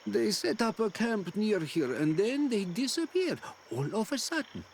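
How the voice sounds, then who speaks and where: An actress playing an enigmatic, forgetful old island hermit woman in a video game, musing in halting phrases. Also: mid-range voice, very animated, a little mellow, a little hiss or hum. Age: older. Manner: slow, cryptic, musing, halting. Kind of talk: video game character acting.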